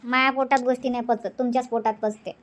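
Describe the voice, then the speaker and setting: A high, bright-voiced village housewife, voiced by a woman in a cartoon comedy. She scolds and grumbles in fast village banter. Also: flat delivery, close to an everyday voice. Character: nagging village housewife Shanta, chatty and sharp-tongued.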